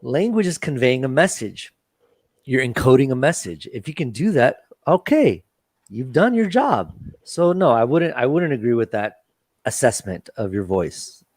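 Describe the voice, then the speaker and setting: A man's voice: mid-range voice, big pitch swings, normal speed, slightly rough, dry recording. Panel guest, American man, mid voice, reassuring teacher, dry room. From United States. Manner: earnest, reassuring, repeats himself. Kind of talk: casual panel chat.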